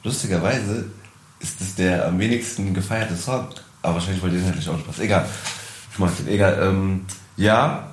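Low-voiced man, reflective and echoey. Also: moderate pace. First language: German. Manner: reflective, laughs easily, mixes in English words. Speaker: musician guest. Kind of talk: casual interview chat. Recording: echoey room, faint noise.

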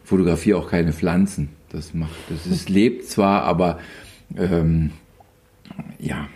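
A man's voice: low voice, slow pace. A man in his fifties, a photographer being interviewed, talking casually with wry humor and many hesitations. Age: 50s. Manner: relaxed, rambling storyteller with wry humor and frequent hesitations. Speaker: photographer guest.

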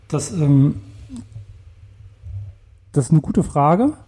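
A man's voice: on the high side, animated, extremely slow, dark tone. A man in his forties from the Saarland, answering interview questions in a casual, hesitant way with many fillers and restarts. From Saarland, Germany. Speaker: entrepreneur, interview guest.

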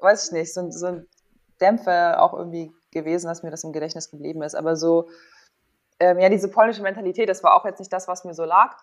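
A woman in her thirties with a slightly low voice speaks as a guest in a casual interview. She is candid and informal, thinking aloud and voicing her own past thoughts as she tells personal stories. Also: fairly even intonation, fast. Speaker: interview guest, former athlete and sports psychologist.

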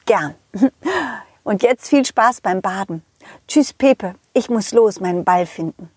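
A woman's voice: medium pitch, animated, takes it slowly, a little mellow, husky, no echo, clean studio sound. A woman voicing a confident, loyal male dog who tells his adventures playfully in a children's audio play. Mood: helpful, caring. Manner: playful, addresses the children directly.

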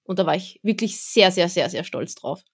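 A woman's voice: fairly low pitch, moderate pace, husky. A young woman, an event presenter, chatting easily as a podcast guest. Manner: relaxed, chatty, with fillers. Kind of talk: casual interview talk.

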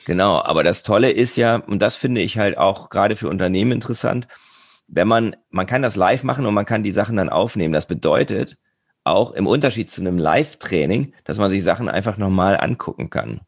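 A man's voice: on the low side, lively intonation, brisk, no echo, studio quality. A man hosting an interview, attentive and affirming, asking long thoughtful questions. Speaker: podcast host, interviewer. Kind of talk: casual conversational interview.

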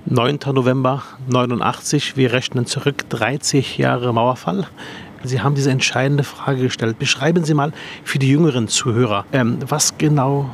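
A man's voice: mid-range voice, fairly even intonation, moderate pace, a little hiss or hum. A man in his forties from Berlin, a politician hosting an interview, friendly and curious as he asks his questions. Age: 40s. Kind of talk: interview with a casual opening.

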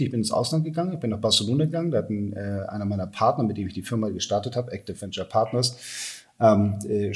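A man, talking casually and matter-of-factly as an interview guest. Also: medium pitch. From Hamburg, Germany. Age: 40s. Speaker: podcast guest, venture capitalist.

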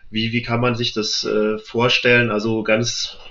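A man hosting an interview in a casual, conversational way, feeling his way haltingly through his phrases. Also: mid-range voice, monotone, pushed and bright. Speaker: interview host. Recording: slightly dull, lo-fi sound.

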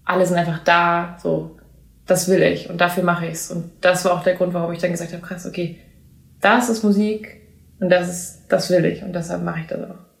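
A young woman, a singer-songwriter, chatting in an interview, lively and full of fillers and restarts. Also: on the low side, normal speed, gravelly, reverberant. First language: German. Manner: animated, many fillers and restarts, laughs easily. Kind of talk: casual interview chat.

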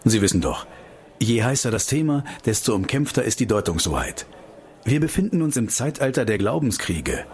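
A man's voice: on the low side, very animated, normal speed. Pointed male investigator, amid background noise. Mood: sardonic, probing. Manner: pointed, sardonic, persistent questioning. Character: ambitious, probing male investigator, politely combative. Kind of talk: radio play dialogue.

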